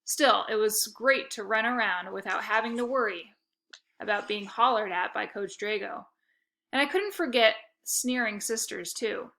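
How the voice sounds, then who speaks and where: A woman reading a children's book aloud as an expressive storyteller, acting out the dialogue. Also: mid-range voice, normal speed, vocal fry. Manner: animated, acts out dialogue.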